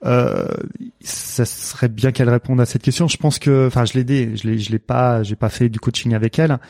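A man with a Marseille accent speaks with a gravelly, mellow voice, dry and close. He is a sports coach in a relaxed interview, hesitating and restarting often, dropping in jokes. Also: mid-range voice, fairly quick, no room sound. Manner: hesitant, many fillers and restarts, jokey asides. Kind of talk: casual interview conversation.

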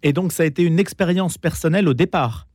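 A man interviewing a guest, asking measured, precise questions. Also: on the high side, darkish tone, breathy edge, no echo, studio quality.

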